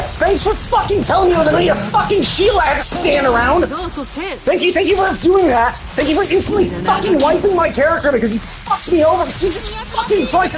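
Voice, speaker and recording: A high-voiced woman livestreams a video game over clearly audible music. She talks in exasperated rants, peppered heavily with swearing. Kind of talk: live gameplay commentary, ranting.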